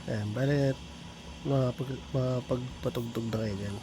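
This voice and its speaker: Gravelly Filipino man over clear background noise. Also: mid-range voice, steady tone, unhurried. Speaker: product tutorial presenter. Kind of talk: casual hands-on product demonstration.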